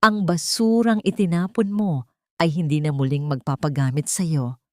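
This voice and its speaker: A woman voicing a weary older housemaid in a story drama, calm, firm and weighty. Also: sits low, normal speed, no room sound, clean studio sound, close to an everyday voice. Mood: calm, resolute. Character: weary older housemaid, humble but newly resolute.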